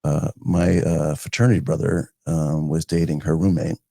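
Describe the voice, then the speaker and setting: Gravelly low-voiced man telling his story. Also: level tone, moderate pace, dark tone, no echo, studio quality. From northern New Jersey, United States. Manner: rambling, with many fillers and restarts. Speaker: guest, community foundation director. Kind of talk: casual conversational storytelling.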